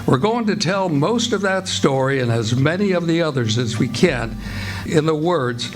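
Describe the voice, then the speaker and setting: A man narrating old-time radio theatre as announcer, with measured, theatrical, presentational delivery. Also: fairly high pitch, animated. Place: live stage before an audience. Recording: music behind the voice, live stage performance. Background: music.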